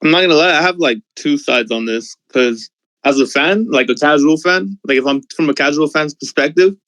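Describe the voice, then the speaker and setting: A man's voice: a little high, brisk, fairly bright tone, low-quality recording. A man giving opinions as a fan in a casual, slangy chat, often saying like and you know. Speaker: discussion panelist, football fan.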